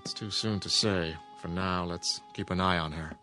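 An actor playing an authoritative old small-town doctor in a radio drama, measured and cautious. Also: male voice, low voice, steady tone, pushed and bright, faint music behind. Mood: grave, cautious. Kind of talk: modern radio drama acting.

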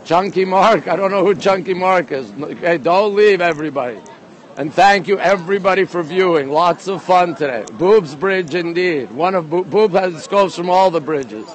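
A middle-aged man hosting a casual walking live stream, chatty and excitable, often repeating himself as he reacts to viewers. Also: high-pitched, moderate pace. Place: outdoors on busy city streets, walking. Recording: outdoor street and traffic noise.

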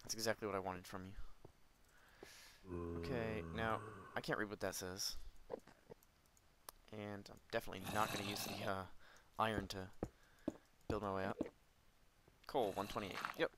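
A young man casually commenting on his own gameplay, talking himself through each move, his voice a little hoarse and cracking, with sudden yelps when he gets hurt. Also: lowish voice, level tone, normal speed, pauses often, very noisy. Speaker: Minecraft let's player.

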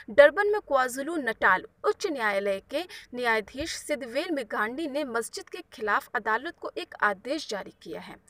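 A woman's voice: fairly high pitch, bright, forceful tone, no echo, distant mic. A woman presenting the news as a scripted narration.

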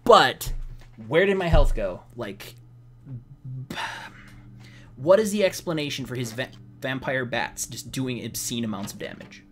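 Male let's player, mid-pitched and a little hoarse, wry exasperated commentary, game music clearly behind. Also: animated, unhurried, pauses often, a bit bright. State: slightly hoarse. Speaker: let's play host. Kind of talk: casual gameplay commentary. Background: video game music.

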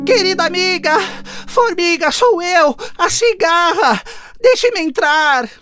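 A woman voicing a carefree, lazy grasshopper musician in a cartoon fable, proud but humbled, with an expressive, dramatic delivery. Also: very high voice, slow pace, dry recording, studio quality, full character voice. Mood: pleading. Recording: music behind the voice.

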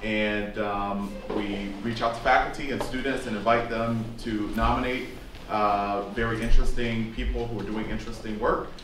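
Man host, low voice, relaxed opening remarks, distant in an echoey room. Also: moderate pace. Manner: relaxed, many ums. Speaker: series organizer, host. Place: university classroom. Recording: very echoey classroom from far off, noisy.

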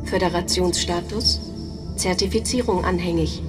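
Woman playing impersonal sci-fi database voice, lowish, distant, loud music over it. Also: steady tone, normal speed, lightly acted. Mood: impersonal, factual. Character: impersonal female voice reading a planetary data file. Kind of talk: scripted data-file announcement in a radio play.

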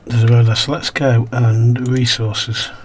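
A man with a croaky, slightly coughing throat, casually commentating a game, reading on-screen text aloud and muttering to himself as he plays. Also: mid-range voice, little pitch movement, unhurried, a little hiss or hum. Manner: mumbles to himself while playing, reads game text aloud. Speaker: gaming video host. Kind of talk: casual let's-play commentary.

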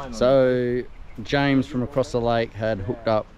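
Guy fishing by a lake, chatty and excited, outdoor camera audio with noise behind. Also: medium pitch, dull audio. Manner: excited, swearing, laid-back banter. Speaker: angler filming a fishing vlog. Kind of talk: casual chat while fishing. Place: lakeside fishing spot outdoors.

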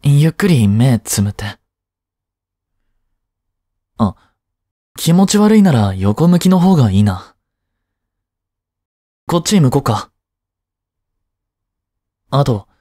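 A young man acting in an intimate voice drama as a caring tutor and medical student, soft, soothing and gently teasing as he scolds. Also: medium pitch, no echo, clean studio sound. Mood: calm concern. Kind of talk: intimate one-sided voice drama aimed at women.

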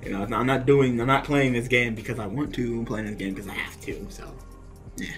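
A man's voice: medium pitch, steady tone, a little fast, soft background music. A young man venting over a card game he just played, swearing and laughing in exasperation as he comments. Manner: ranting, profane, laughing in exasperation.

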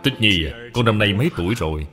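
Genial general for animation, welcoming, expansive, formal, lively intonation. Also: male voice, lowish voice, quick pace, soft background music. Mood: fondly impressed. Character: genial great general, hearty older family friend.

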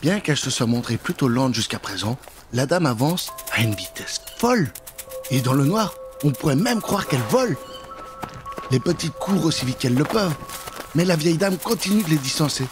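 A man in his thirties telling a children's tale in an expressive, theatrical style, close to the microphone. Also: fairly high pitch, normal speed, music in the background. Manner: expressive, dramatic. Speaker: storyteller. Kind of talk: theatrical scripted storytelling for children. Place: indoor recording setup. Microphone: close microphone with pop filter.

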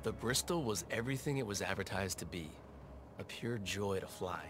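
An actor playing a roguish, dishonest fighter pilot and gambler, narrating in a low, wry, confessional voice over loud music. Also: male voice, moderate pace, close to an everyday voice. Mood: reflective. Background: loud orchestral music.

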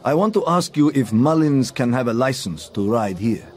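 An actor playing a suave, wealthy racehorse owner in a radio drama, terse, shrewd and decisive. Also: male voice, medium pitch, lively intonation, normal speed, darkish tone, lightly acted. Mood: persuasive. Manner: terse, assured.

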